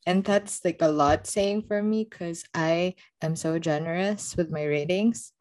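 A woman's voice: lowish voice, a little slow, darkish tone. A woman co-hosting a book podcast, talking casually in a blunt, teasing way and often laughing as she speaks.

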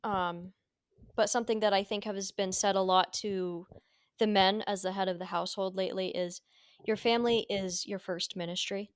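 A woman talks earnestly through her thoughts, restarting sentences as she goes. Her voice is slightly hoarse and strained. The recording is dry and close. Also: mid-range voice, steady tone, moderate pace, dry recording. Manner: earnest, reflective, with frequent restarts and laughs. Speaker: podcast co-host. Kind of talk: casual faith discussion.

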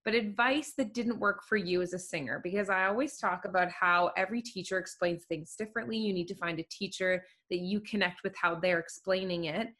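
A woman's voice: mid-range voice, a little fast, few pauses, a bit bright. A woman hosting a podcast, explaining things and giving advice in a casual chat. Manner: advising, explanatory. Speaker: podcast host.

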